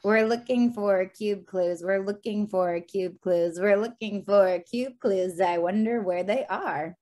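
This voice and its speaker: Playful cartoon sidekick, played by a woman, wry and quippy with a soft, dark tone. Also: medium pitch, slow, lightly acted. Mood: playful sing-song. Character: quippy, playful comic-relief adventurer. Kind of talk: animated fantasy table read.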